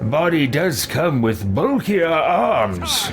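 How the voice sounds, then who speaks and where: A man voicing a pompous, scheming mage in theatrical, deliberate in-character commentary for a video game roleplay. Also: fairly high pitch, very animated, soft background music, voice pushed up. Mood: haughty, bemused. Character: pompous, scheming male mage trapped in another body.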